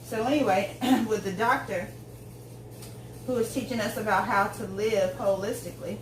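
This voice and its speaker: A rambling woman in her forties talks while she cooks in her home kitchen, drifting between tangents with plenty of ums. She stands away from the camera, so her voice sounds distant and bounces around a roomy, echoey space. A steady layer of room noise sits under everything she says. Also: medium pitch, moderate pace. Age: 40s. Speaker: home cook vlogger. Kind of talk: casual chat while cooking.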